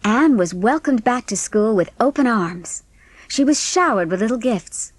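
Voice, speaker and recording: A woman narrator reading a scripted storybook in a measured, expressive way. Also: fairly high pitch, no room sound.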